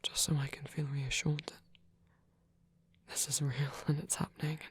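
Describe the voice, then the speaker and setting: A man playing a gentle, tender old vampire priest in an intimate ASMR roleplay, speaking slowly and hesitantly in a soft, breathy whisper. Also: a little high, little pitch movement, a little hiss or hum, lightly acted. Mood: earnest, vulnerable. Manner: slow, hesitant, reassuring, softly formal phrasing.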